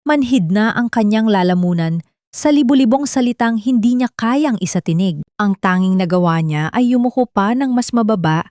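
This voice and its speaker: A woman's voice: on the high side, lively intonation, normal speed, dark tone, no room sound. Woman narrating a drama, very dry sound. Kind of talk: dramatic scripted audio story narration.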